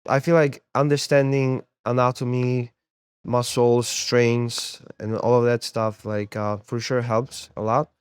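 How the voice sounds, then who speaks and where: Casting: young man from Poland, guest therapist, smooth clear voice, dry close sound, easygoing talk. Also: medium pitch, unhurried, dry recording. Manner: relaxed, repeats words while finding them. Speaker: guest, sports therapist and MMA coach. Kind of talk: casual interview talk.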